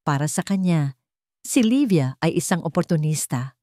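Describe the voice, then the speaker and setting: Lowish-voiced woman narrating a dramatic story. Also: animated, normal speed, warm tone, dry recording, clean studio sound.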